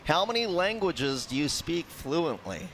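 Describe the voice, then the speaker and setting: A man hosts a live radio interview from a restaurant, talking into a headset in an upbeat, chatty way. He often restarts his sentences. His pitch sits somewhat high, with a faint hum of background noise. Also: big pitch swings, measured pace, fairly bright tone. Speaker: radio show host.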